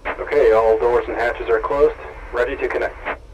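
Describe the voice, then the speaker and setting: A man voicing a calm male ground crew chief in a flight simulator, giving flat procedural calls. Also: medium pitch, normal speed, a little hiss or hum, natural voice. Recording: dull lo-fi game audio.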